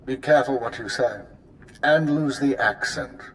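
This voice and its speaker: A man voicing a stern, precise intelligence handler giving crisp, commanding orders in a video game. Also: medium pitch, moderate pace, a little hiss or hum, lightly acted, poor audio. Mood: briefing. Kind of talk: video game cut-scene acting.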